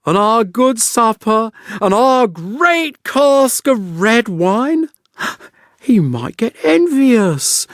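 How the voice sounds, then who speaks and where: A man's voice: high voice, no room sound, clean studio sound, voice pushed up, full character voice. A man voicing a pompous, selfish middle-aged miller who moralizes in a self-important storybook manner.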